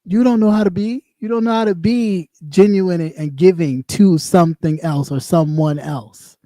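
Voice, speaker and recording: Light-voiced guy ranting on his stream, sassy and blunt, kinda high, mellow dry sound. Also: moderate pace, no room sound. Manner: blunt and sassy, talks in loops and repeats himself. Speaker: live stream host; former radio host. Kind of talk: casual rant on a livestream.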